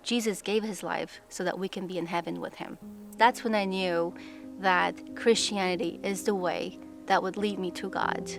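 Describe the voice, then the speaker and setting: A woman's voice: on the low side, steady tone, moderate pace, creaky. A young woman from Pakistan giving an earnest, reflective personal testimony. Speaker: testimony speaker. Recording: music bed underneath.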